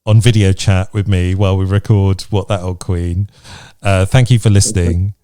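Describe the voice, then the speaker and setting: A man's voice: low voice, level tone, normal speed, dark tone, no room sound, clean studio sound. A man hosting a podcast, chatting casually in a reflective, encouraging way. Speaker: podcast host. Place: remote video chat recording.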